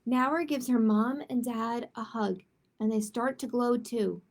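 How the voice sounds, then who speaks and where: A woman's voice: on the high side, fairly even intonation, unhurried. A woman hosting a children's storytime, reading picture books aloud expressively and adding simple chatty asides with little check-in questions. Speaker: library storytime host.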